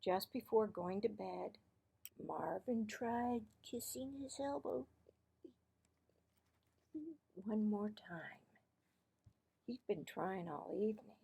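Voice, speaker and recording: Creaky-voiced woman reading a children's story. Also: mid-range voice, dark tone. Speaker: teacher-style read-aloud narrator.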